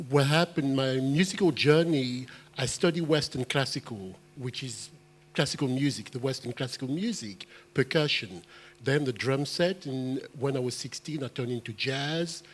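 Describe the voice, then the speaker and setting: A man who grew up in France and Belgium, a musician on a panel, telling his story in an earnest, animated way with halting restarts. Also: mid-range voice.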